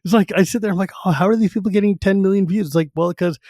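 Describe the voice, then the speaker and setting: A man hosting a casual podcast chat, relaxed and laughing often, using easy slang. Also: high-pitched, very fast, no room sound, studio quality. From United States. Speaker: podcast host.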